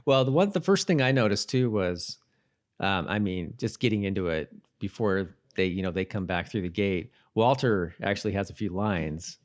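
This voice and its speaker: Low-voiced man chatting, halting and rephrasing. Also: animated. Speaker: podcast co-host. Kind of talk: casual chat reviewing a TV episode.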